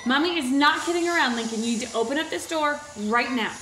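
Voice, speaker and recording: A woman's voice: high-pitched, quick pace, fairly bright tone, reverberant. A woman in her thirties playing a mother, pleading and coaxing through a locked bathroom door in a comedy sketch. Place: home hallway by bathroom door. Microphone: boom mic.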